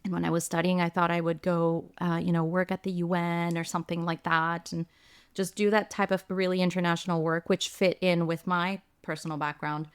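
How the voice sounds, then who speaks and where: A middle-aged woman from the United States, raised between France and Colombia, speaking as a coach and podcast guest in a casual interview. She is upbeat and animated and laughs easily. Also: lowish voice, fairly quick, smooth.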